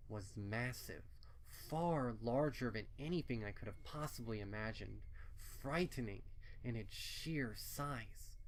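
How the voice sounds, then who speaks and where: A man narrates a horror tale in a solemn, dramatic way. His voice is fairly low and very slow, with clear ambient noise behind it. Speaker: fan fiction reader. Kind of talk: scripted dark horror narration, first person.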